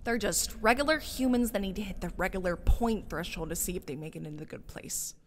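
A woman playing an exasperated, quirky, all-powerful judge in a comedic fan dub, scolding in an animated, colloquial way. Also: fairly low pitch, brisk, slight background noise, lightly acted. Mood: indignant, scolding.

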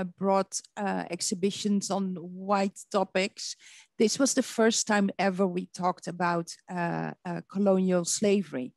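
Woman, middle-aged, gravelly fry, dry close sound, deliberate halting explanations, online panel. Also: mid-range voice, a little mellow, no room sound. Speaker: museum curator on a webinar panel. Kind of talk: thoughtful panel discussion. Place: indoor room on a video call. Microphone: computer video call.

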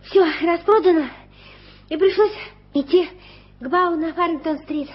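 Very high chirpy street kid, dull hissy old radio tape. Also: female voice. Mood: eager. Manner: chirpy, rapid, childlike. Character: scrappy street kid Tommy, quick and eager. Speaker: actress voicing a child. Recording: dull lo-fi radio recording with hiss.

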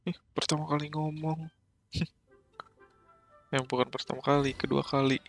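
A man's voice: fairly high pitch, flat delivery, normal speed, vocal fry, soft background music. A man with an Indonesian accent giving casual gameplay commentary in short muttered remarks. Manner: muttering brief remarks, echoing game lines. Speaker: gaming video maker.

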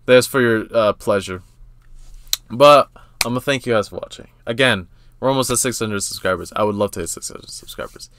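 A young man giving casual, excitable gamer reaction commentary, slangy and exclamatory, often repeating himself. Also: on the low side, brisk, noisy background. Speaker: reaction video host.

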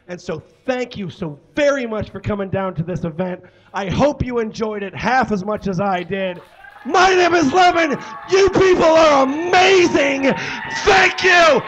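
High-voiced, exuberant male live show host. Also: pushed and bright, slight background noise, poor audio. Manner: exuberant, often raising his voice. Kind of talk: casual, sweary stage address to an audience. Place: small indoor stage in a bar. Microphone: handheld stage microphone.

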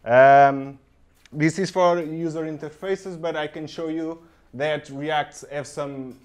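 A young man from Portugal with a Portuguese accent, giving a conference tech talk in halting English full of ums and okays, recorded on a handheld mic in a room. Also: mid-range voice. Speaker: conference speaker. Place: conference room with projector, indoors.

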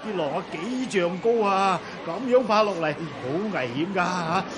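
An actor playing a nosy, panicky boat passenger who stammers and repeats himself in a Cantonese radio drama with a Hong Kong accent. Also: male voice, high-pitched, expressive, normal speed. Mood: panicked. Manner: stammering, repetitive exclamations. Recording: noisy radio drama with crowd ambience.

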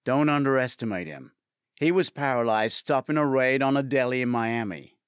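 A man voicing a brisk, matter-of-fact male investigator with a wry streak in an audiobook. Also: mid-range voice, clear, resonant tone, no room sound, studio quality, natural voice. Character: brisk, teasing male investigator colleague delivering bad news.

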